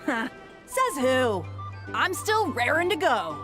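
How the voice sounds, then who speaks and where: A woman's voice: high-pitched, very animated, slow, over music, full character voice. A woman voicing a brash, rowdy and playful drinker in a video game, cocky and slangy.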